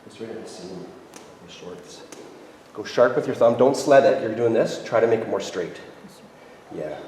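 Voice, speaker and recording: A middle-aged man with a low voice leads a hands-on massage lesson, chatting easily and giving quick instructions. He is caught from far off by a camera in a large, echoey room with steady noise in the background. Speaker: massage teacher. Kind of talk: hands-on teaching demonstration. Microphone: far handheld camera.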